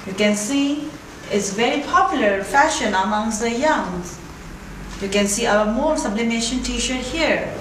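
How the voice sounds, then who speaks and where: A woman in her thirties giving a deliberate, instructional scripted product demonstration in a showroom, picked up by a distant camera mic. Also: fairly high pitch, lively intonation. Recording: echoey, distant camera mic, noisy room.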